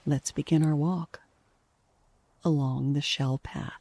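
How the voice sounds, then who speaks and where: A woman with a soft, breathy, hushed voice gently and soothingly narrating a guided sleep meditation, unhurried throughout. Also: sits low, steady tone.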